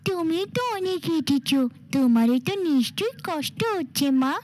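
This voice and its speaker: A woman's voice: high-pitched, expressive, moderate pace, darkish tone. A woman voicing a devoted, timid little girl in a cartoon fairy tale, with a pleading, childlike manner. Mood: affectionate, trusting. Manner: pleading, childlike, affectionate way of addressing mother.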